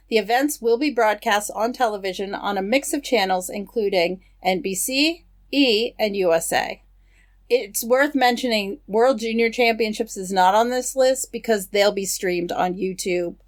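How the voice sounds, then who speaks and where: A woman co-hosting a podcast, reading news items in a steady, matter-of-fact way with casual asides. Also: medium pitch. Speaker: podcast co-host.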